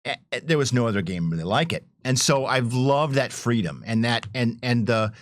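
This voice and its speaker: A man's voice: mid-range voice, expressive, moderate pace, no echo. Middle-aged man reminiscing in dry sound. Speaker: game developer on a panel. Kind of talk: casual chat.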